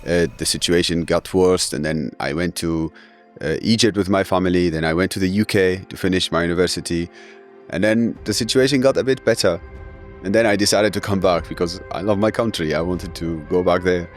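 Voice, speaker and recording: A man in his thirties, raised in Syria, speaking English as an in an earnest, halting way with many fillers. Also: low-pitched, fairly even intonation, soft background music. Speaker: guest. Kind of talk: emotional interview.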